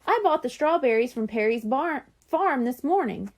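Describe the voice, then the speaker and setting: A woman reading aloud while voicing a gentle, organized mother in a children's storybook. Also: sits high, animated, normal speed. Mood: motherly. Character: warm, organized mother planning a surprise.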